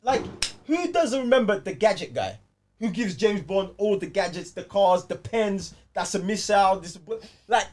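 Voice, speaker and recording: A man's voice: high voice, animated, normal speed, a little mellow. A man with a London accent hosting a casual film chat, slangy and repeating phrases for emphasis. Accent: London British. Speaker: podcast host. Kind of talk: casual panel chat about films.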